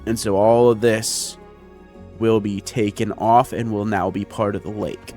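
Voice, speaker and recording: A man's voice: lowish voice, little pitch movement, a little slow, darkish tone. A man giving casual, chatty gameplay commentary, explaining his plans step by step as a video creator speaking into a close mic. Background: background music.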